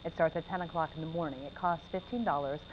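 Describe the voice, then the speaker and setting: A woman's voice: low-pitched, quick pace. An American woman reading scripted local stories as a television news anchor. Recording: muffled broadcast with clear background noise.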